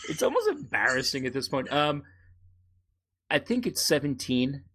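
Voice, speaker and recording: A man's voice: medium pitch, sing-song, normal speed, fairly bright tone. A man chatting casually as a podcast co-host, in a rambling, self-deprecating way.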